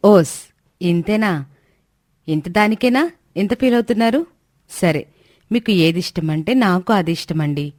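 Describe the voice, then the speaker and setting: A woman's voice: on the low side, animated, a little slow, pauses often, darkish tone, no echo. A woman playing a devoted, affectionate yet firm young wife in a story, speaking expressively. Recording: dry studio voice track.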